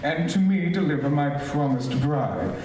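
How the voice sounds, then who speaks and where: Man acting slow, merciless tyrant emperor. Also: fairly high pitch, expressive, warm tone. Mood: menacing command. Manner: imperious, deliberate. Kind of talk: old-time radio serial acting. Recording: distant, very echoey hall, faint noise.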